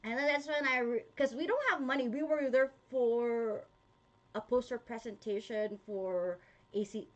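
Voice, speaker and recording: A woman's voice: on the high side, unhurried, muffled recording. A young woman with a Filipino accent, chatting casually to her viewers, with frequent laughs and fillers. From Philippines. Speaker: vlogger. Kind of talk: casual talk to viewers, reading comments.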